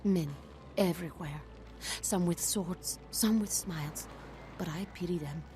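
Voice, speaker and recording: Actress as cryptic female fortune teller, game dialogue, slightly low, portentous, ominous, clear background noise. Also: unhurried, natural voice. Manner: portentous, riddling pronouncements. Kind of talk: video game dialogue acting.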